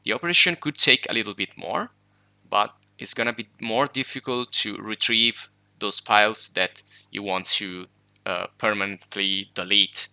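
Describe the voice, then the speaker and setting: A man whose first language is Italian, explaining computer steps in a relaxed screen-recorded tutorial, with fillers and self-corrections. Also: fairly low pitch, normal speed, gravelly, no room sound. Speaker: tech tutorial host.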